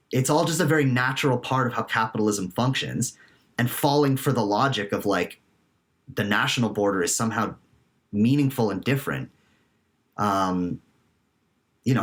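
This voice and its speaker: A man's voice: medium pitch, expressive, normal speed, pauses often. A man with a Canadian accent giving conversational political commentary as a podcast guest. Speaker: podcast guest, labour organizer and academic.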